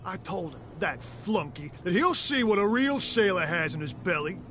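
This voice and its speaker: A man's voice: high voice, sing-song, normal speed, bright, forceful tone, slight background noise, exaggerated voice. An actor voicing an angry, boastful male sailor in a video game, ranting.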